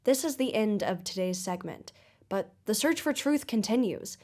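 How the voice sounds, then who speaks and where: A woman's voice: mid-range voice, animated, normal speed, darkish tone, natural voice. A woman playing a probing investigative mystery show host in an audio drama, speaking in a polished, formal, inquisitive manner. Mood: intriguing, earnest. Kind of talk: audio-drama broadcast interview and narration.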